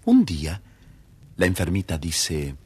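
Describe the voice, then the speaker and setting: A man's voice: low voice, wildly swooping pitch, measured pace, breathy edge. A man expressively narrating a scripted children's story.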